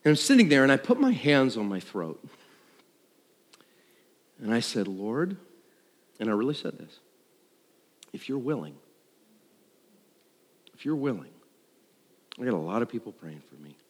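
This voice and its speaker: Male worship leader, mid-pitched, conversational and self-deprecating storyteller, live in a big echoing hall. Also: a little slow, long pauses. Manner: animated storyteller, acting out dialogue and thoughts. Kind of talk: personal testimony told to a congregation. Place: large room, speaking to an audience. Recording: live hall with long reverb.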